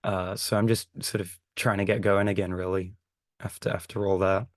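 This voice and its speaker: A man co-hosting a podcast with a croaky voice from recent illness, chatting in a wry, thoughtful way. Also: low-pitched, little pitch movement, moderate pace, no echo, clean studio sound. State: croaky voice after a chest infection. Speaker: podcast co-host. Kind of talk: casual podcast chat.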